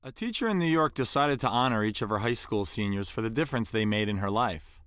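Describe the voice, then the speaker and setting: A man's voice: lowish voice, animated, fast talker, fairly bright tone, smooth, dry recording, clean studio sound. Earnest man reading an inspirational story. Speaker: presenter reading a story aloud.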